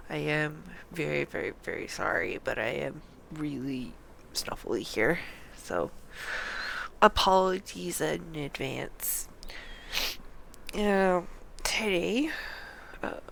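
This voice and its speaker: A stuffy-nosed woman streaming a game, talking slowly with a gravelly edge and chatting apologetically between read-out lines. A faint hiss sits behind her voice. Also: deep voice, a bit bright. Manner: apologetic, chatty, reads game text aloud. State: congested, under the weather. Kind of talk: casual gameplay commentary and reading.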